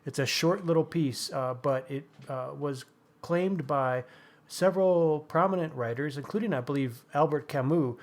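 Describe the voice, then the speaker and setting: A man's voice: on the high side, normal speed. A man co-hosting a podcast, chatting casually and informally about books. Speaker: podcast co-host. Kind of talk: casual book chat.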